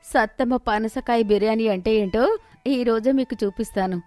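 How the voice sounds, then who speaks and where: A woman voicing a poor, confident village wife in an animated story, reassuring and determined. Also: a little high, moderate pace, no room sound, soft background music, natural voice.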